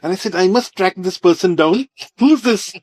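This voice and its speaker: A man's voice: sits high, animated, normal speed, a little mellow, no echo. A man with an Indian English accent hosting an interview show, rambling through his introductions with frequent uhs and restarts. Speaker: host.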